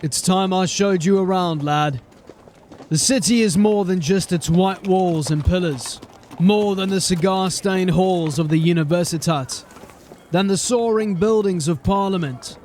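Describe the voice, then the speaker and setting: A man voices a gruff, world-weary watch sergeant who speaks plainly and grimly. His voice sits a little high for a man. He stays steady in the way of an audio drama veteran. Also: a little hiss or hum. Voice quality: plain-spoken, grim and steady. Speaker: voice actor.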